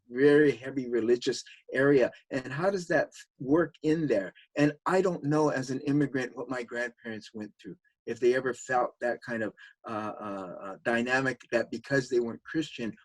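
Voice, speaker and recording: A man's voice: mid-range voice, normal speed, no echo, rough recording. A man reflecting on a panel discussion, talking conversationally with frequent fillers. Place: video call.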